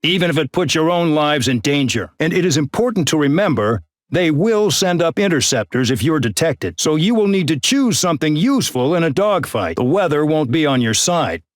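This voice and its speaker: Game role: contemptuous middle-aged colonel, slightly high-pitched, barking scornful orders, dry close studio sound. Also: male voice, big pitch swings, moderate pace, no room sound. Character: harsh middle-aged male colonel of a penal unit, contemptuous and bullying. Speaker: commanding officer giving mission briefings. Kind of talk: video game voice acting.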